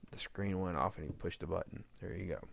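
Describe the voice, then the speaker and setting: A man's voice: low-pitched, fast talker, gravelly, husky, no room sound. A man giving a casual, unscripted editing tutorial, meandering as he thinks aloud. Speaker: audio drama editor giving a demo.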